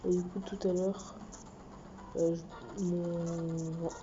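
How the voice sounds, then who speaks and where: A woman's voice: fairly low pitch, flat delivery, takes it slowly, noisy background. A woman giving casual, rambling commentary on her own gameplay, often mumbling asides under her breath. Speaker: let's play gamer. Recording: dull lo-fi with loud game music. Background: video game music.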